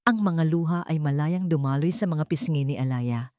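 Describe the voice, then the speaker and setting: A woman storyteller reading a scripted dramatic story in an earnest, measured way. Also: sits low, dark tone, no echo, clean studio sound.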